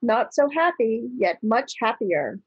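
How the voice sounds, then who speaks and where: A woman's voice: on the high side, very animated, measured pace, husky, full character voice. A woman playing a sinister witch, chanting and hailing in verse in an amateur Shakespeare read-through on a dull video call. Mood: prophesying.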